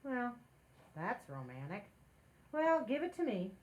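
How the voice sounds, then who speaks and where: A woman's voice: fairly high pitch, sing-song, measured pace, a little mellow, dull audio. A woman playing a playful, meddling married housewife in 1940s radio comedy style, with an emphatic theatrical delivery. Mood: breezy, persuasive.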